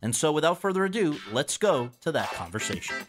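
A man hosting a podcast, reading a measured scripted introduction full of quotations, then asking polite questions. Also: on the high side, very animated, loud music behind the voice. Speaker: podcast host.

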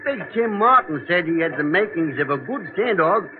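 Actor as high-pitched chummy British tunnel worker. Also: male voice, lively intonation, moderate pace, natural voice. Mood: teasing, chummy. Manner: chummy, colloquial. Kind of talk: 1940s radio drama acting. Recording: tinny 1940s radio broadcast.

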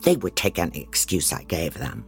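A woman's voice: rumbling low, sing-song, moderate pace, creaky, slightly rough, faint music behind, exaggerated voice. An actress playing a blunt, disorganized female private investigator, narrating an audio drama in a wry way. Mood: wry, grim.

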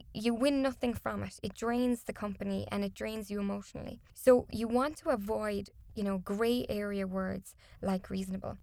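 A woman whose first language is not English explains contract pitfalls in an interview, emphatic and steady. Her mid-pitched voice has a gravelly fry, and the sound is close and dry. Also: no room sound. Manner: explanatory, emphatic, some restarts. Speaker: contracts and disputes consultant. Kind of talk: interview answers.

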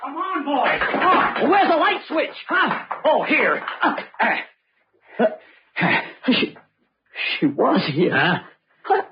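A man's voice: extremely high pitch, very animated, slow, slight background noise, exaggerated voice. An actor playing a tough man investigating murder who grows haunted and rattled, in old-time radio mystery style. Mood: panicked. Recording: muffled, husky old radio drama recording.

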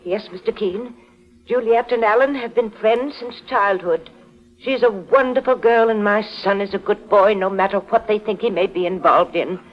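A woman's voice: medium pitch, moderate pace, close to an everyday voice. An actress playing a dignified, protective middle-aged mother in a 1940s radio drama, composed, formal and firm. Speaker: radio actor. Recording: dull old 1940s radio broadcast.

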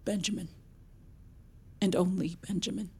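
An actress playing a loving, grieving young mother in an audio drama, speaking haltingly and tenderly. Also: on the low side, steady tone, a little mellow, slightly rough. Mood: tender, sorrowful. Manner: halting, tender, emotionally weighted. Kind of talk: intimate audio drama monologue and narration.

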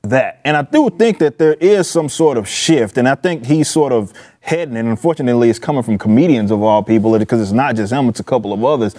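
A man's voice: medium pitch, expressive, fast talker, few pauses, dark tone. A man talking casually as a panel guest, giving opinions in an emphatic, colloquial way with repeated phrases.

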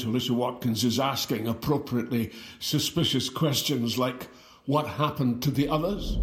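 An actor playing a confused, apologetic elderly brother in an audio drama, speaking hesitantly. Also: male voice, mid-range voice, a little hiss or hum, close to an everyday voice. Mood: rambling, wry. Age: older. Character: confused, sorrowful elderly man, long-bereaved twin brother. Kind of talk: audio fiction drama acting. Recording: roomy sound with music behind.

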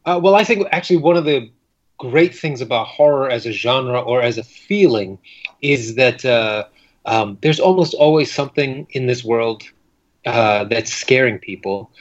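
A man speaking as a writer being interviewed, thoughtful and halting, with frequent reflective pauses. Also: medium pitch. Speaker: guest fiction writer. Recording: dull lo-fi recording.

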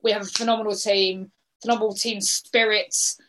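A woman's voice: medium pitch, flat delivery, moderate pace, a bit bright, rough recording. A woman, a guest on a football podcast, talking with fervent, emphatic passion about her team. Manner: passionate, emphatic supporter talk. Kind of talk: casual podcast chat.

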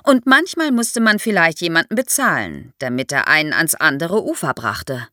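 Female expert guest for an explainer podcast, lively intonation, vivid storytelling manner, very dry studio sound. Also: low voice, normal speed, no echo. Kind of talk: explanatory podcast interview for young listeners.

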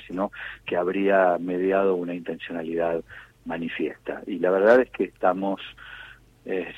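An Argentine man answering as an official in a radio interview, speaking in a measured, formal, wordy way. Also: deep voice, breathy edge, dull audio. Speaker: government official being interviewed.

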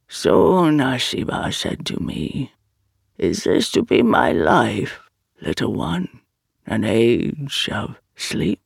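A narrator doing a huge horned fire spirit, ancient and bone-tired, talking in a deep rumble that drags out every word. The voice is heavily gravelled and sometimes sinks to a husky whisper, swinging widely in pitch. It sits in a dry storybook recording. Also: dry recording, heavily acted. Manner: slow, solemn, sorrowful.

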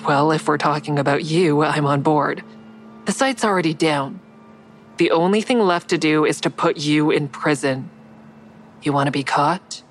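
Woman acting a low-voiced, guarded, sardonic heroine. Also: moderate pace, slight background noise, lightly acted. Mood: defiant. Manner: dry, defiant, wry. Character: guarded, sardonic woman, crisis counselor with secret kills. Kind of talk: audio drama dialogue and first-person narration.

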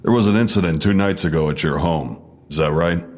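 A very low male voice plays a stern police detective in an audio drama. He questions in a measured, probing way, with a sardonic needle in his delivery. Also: darkish tone. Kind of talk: full-cast audio drama interrogation.

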